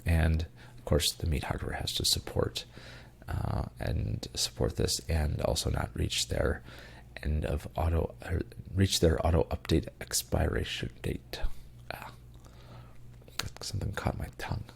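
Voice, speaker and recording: Man, congested, tired, gravelly, casual tech podcast host, filler-heavy. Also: rumbling low, measured pace. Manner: many ums and uhs, loose rambling asides. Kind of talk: casual tech news rundown.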